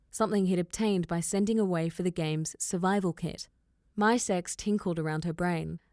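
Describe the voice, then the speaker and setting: A woman's voice: medium pitch, warm tone, no echo, clean studio sound. A woman reading scripted fiction as an audiobook narrator.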